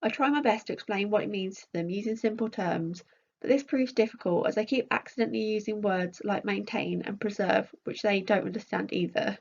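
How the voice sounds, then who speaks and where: Husky woman presenting research, muffled recording. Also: medium pitch, a little fast, a little mellow. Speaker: PhD researcher presenting at a conference. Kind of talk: scripted academic presentation, read aloud.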